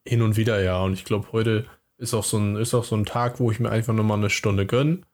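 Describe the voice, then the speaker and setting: A man's voice: lowish voice, steady tone, fairly quick, a little mellow. A man chatting casually as a podcast co-host, laid-back and hesitant, with many fillers. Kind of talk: casual chat.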